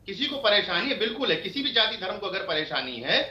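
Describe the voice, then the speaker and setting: Forceful male politician on dull echoey feed. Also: high voice, very animated, quick pace. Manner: emphatic, repetitive, accusatory. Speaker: political party spokesman, debate panelist. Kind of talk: argumentative TV panel debate.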